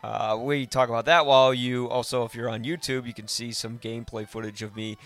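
A man hosting a podcast in a casual, rambling way, often halting and correcting himself. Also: mid-range voice, fairly even intonation, a bit bright, faint music behind. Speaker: podcast host.

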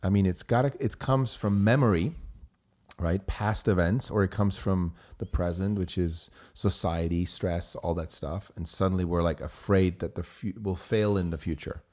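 A podcast host who grew up in Switzerland and speaks German as his first language. His voice sits very low with a warm, mellow tone. He talks casually and keeps checking in with rhetorical questions. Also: moderate pace. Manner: chatty, asks rhetorical questions.

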